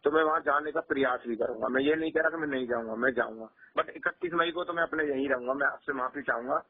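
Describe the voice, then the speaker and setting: A man's voice: medium pitch, fast talker, slightly rough. A man talking earnestly on a phone call, emphatic and often repeating his phrases. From Rajasthan, India. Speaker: local journalist and community activist, being interviewed. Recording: tinny, muffled phone call recording.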